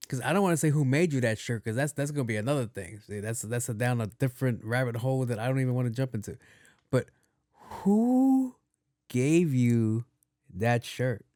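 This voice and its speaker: A young man hosting a podcast in a soft voice, rambling casually with plenty of cursing and restarts. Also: dry recording, clean studio sound. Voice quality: soft, not deep. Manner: loose and profane, with many restarts and repeats. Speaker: podcast host.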